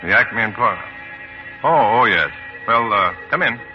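An actor playing a wry, proud, cynical newspaper writer and romantic lead, dry and wisecracking, in 1940s radio style. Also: male voice, on the low side, animated, moderate pace, lightly acted. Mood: flustered. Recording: muffled 1940s radio broadcast, music behind.

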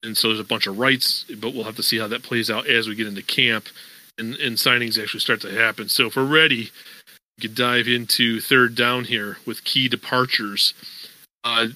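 A man's voice: mid-range voice, fairly even intonation, normal speed, bright, forceful tone, rough recording. A man hosting a sports podcast, talking casually and at length with plenty of fillers. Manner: conversational, many fillers, explains at length. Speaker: podcast host.

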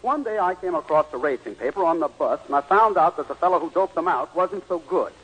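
A meek, harried suburban husband in a vintage radio comedy. His voice is husky and fairly high, swinging up and down as he protests in an earnest, flustered way. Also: expressive, a little fast, a bit bright, rough recording. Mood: flustered pleading. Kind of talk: 1940s radio comedy acting.

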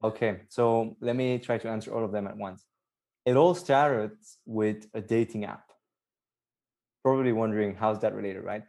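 A man's voice: fairly low pitch, normal speed, gravelly, mellow. A young man from Belgium, co-founder of a startup, chatting as a podcast guest, upbeat and explaining at length with fillers. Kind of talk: casual podcast interview.

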